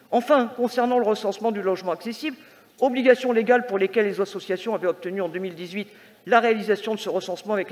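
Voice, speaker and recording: A woman reading a prepared, formal and critical political speech as a councillor at a council meeting. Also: medium pitch. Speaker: metropolitan councillor. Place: large indoor council chamber. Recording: reverberant council hall sound.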